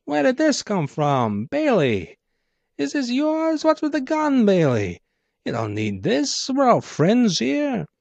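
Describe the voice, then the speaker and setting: Man acting a theatrical, high-swooping clockwork gangster boss. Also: wildly swooping pitch, creaky, darkish tone, dry recording, dull audio, heavily acted. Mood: mock surprise. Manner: theatrical, taunting, drawn-out phrasing. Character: theatrical gloating male clockwork gangster boss with copper body. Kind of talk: dramatic audiobook character voice, noir pulp.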